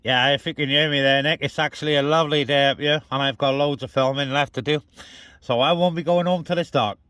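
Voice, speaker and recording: A man's voice: a little high, fairly even intonation, fairly quick, fairly bright tone. A man chatting casually as a CB radio operator and vlogger, speaking outdoors on a hilltop. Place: outdoors on a hilltop, light wind.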